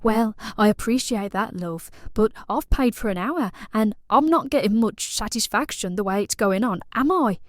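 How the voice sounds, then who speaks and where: A woman's voice: mid-range voice, expressive, moderate pace, hardly any pauses, dry recording, clean studio sound, lightly acted. An actress playing a bored, randy, blunt British suburban woman in an audio play, talking in a colloquial, cajoling way. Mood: exasperated.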